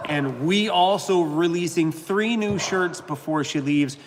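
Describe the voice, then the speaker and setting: A man's voice: measured pace, noisy background. Chatty man in his fifties. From Canada. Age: 50s. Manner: rambling, talks to his dog in a high coaxing voice. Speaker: live stream host. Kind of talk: casual live stream chat.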